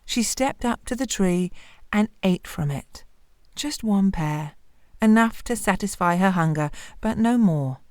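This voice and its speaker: Woman telling a fairy tale, expressive, slow pace, mid pitch, dry recording. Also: no room sound. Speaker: storyteller.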